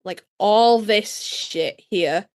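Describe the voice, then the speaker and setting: A woman co-hosting a podcast in casual chat, a little hoarse and nasal from being sick, telling stories in a chatty, swearing way. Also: mid-range voice, extremely slow. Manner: chatty, swearing, animated storytelling. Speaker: podcast co-host.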